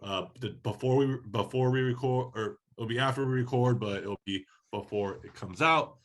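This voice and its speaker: A man from Oregon co-hosting a soccer podcast, talking in a relaxed, casual way while slightly hoarse from a head cold. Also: medium pitch, normal speed. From Oregon, USA. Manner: laid-back, conversational, many fillers. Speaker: podcast co-host.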